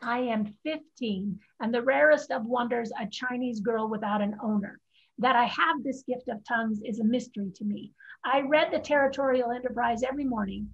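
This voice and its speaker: Woman playing proud gifted teenage girl, blunt formal phrasing, high husky voice, staged play reading, muffled video-call audio. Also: normal speed. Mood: proud, bitter. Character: sharp-tongued fifteen-year-old Chinese girl, proud gifted linguist.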